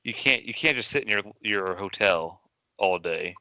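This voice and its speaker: A man's voice: lowish voice, expressive, normal speed, pushed and bright, smooth, dry recording, low-quality recording. A man co-hosting a podcast in casual chat, steering the topics with hesitant restarts. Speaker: podcast co-host.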